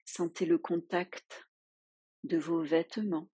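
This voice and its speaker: Slow, low-voiced woman guiding relaxation. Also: fairly even intonation, dark tone, breathy edge, dry recording. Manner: soothing, unhurried instruction with long pauses. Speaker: yoga nidra teacher. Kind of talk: guided relaxation meditation.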